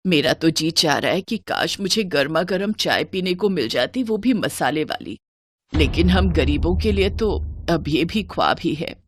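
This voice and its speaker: Older village mother-in-law, played by a woman, poor and worried, plaintive, expressive pitch. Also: normal speed, slight background noise, lightly acted. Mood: weary, wistful.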